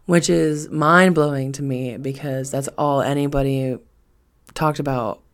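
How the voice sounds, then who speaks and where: A woman's voice: extremely low pitch, fairly even intonation, a little slow. A woman in her twenties chatting casually on a solo podcast, rambling and swearing freely with emphatic repetition. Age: 20s. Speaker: podcast host.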